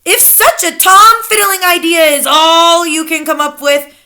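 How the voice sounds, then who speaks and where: A woman's voice: high-pitched, lively intonation, a little slow, sharp tone, voice pushed up, heavily acted. A woman voicing a tiny, tyrannical female witch leader who shrieks orders in oddly broken grammar. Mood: raging.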